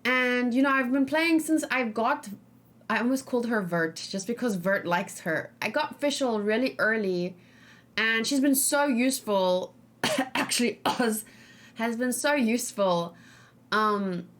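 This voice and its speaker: Female let's play host with a fairly high voice; informal, chatty, rambling delivery that thinks out loud. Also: expressive, measured pace. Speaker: gaming streamer and host.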